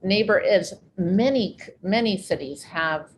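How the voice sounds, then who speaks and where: A woman hosting an interview, speaking in a reflective, measured way. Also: medium pitch, slight background noise. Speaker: interview host. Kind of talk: conversational interview.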